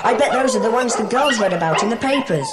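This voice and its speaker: A woman playing a brisk, decisive schoolboy who leads a secret club in a dramatised children's audiobook. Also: a little high, expressive, very noisy, close to an everyday voice. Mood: cautious, excited. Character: bossy, sensible schoolboy leader of a secret club.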